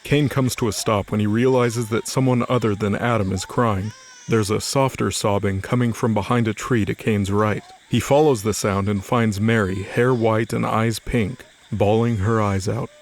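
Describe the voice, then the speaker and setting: A man's voice: on the low side, fairly even intonation, normal speed. A man narrating scripted fiction as the storyteller of an audio drama. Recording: faint music under the voice. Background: music.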